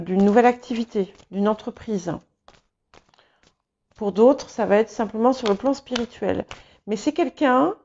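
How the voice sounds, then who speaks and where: A woman whose first language is English gives a tarot reading slowly and haltingly, with many fillers and restarts. Her voice is mellow and unhurried. The recording sounds a little dull and lo-fi. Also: on the high side. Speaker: tarot reader. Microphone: small clip-on mic.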